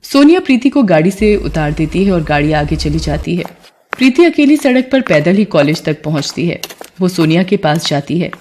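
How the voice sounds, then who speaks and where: A woman's voice: on the low side, big pitch swings, normal speed, darkish tone, slight background noise. A woman narrator reading a scripted moral story.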